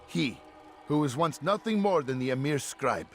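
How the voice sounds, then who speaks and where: A man's voice: fairly high pitch, animated, moderate pace. An actor playing an anxious, bitter city workman in a video game, grumbling warily. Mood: bitter, wary. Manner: wary, bitter.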